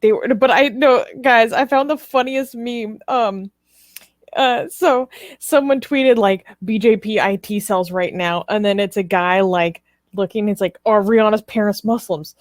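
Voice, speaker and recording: Animated woman talking casually, close dry sound. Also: medium pitch, unhurried, no echo. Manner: animated, informal, emphatic, laughs readily. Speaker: co-host of a live political talk show. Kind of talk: casual, opinionated live discussion.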